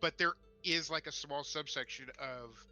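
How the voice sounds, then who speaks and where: A man co-hosting a tabletop gaming discussion show, talking casually and opinionatedly in a halting, emphatic way with sarcastic asides. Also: a little high, pushed and bright, faint music behind.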